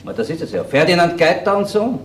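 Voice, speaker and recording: An actor playing a suspicious, impatient junior police detective in a radio crime drama, curt and badgering, with a Viennese accent. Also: male voice, a little high, sing-song, fast talker, slight background noise. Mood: matter-of-fact. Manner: matter-of-fact, curt, badgering.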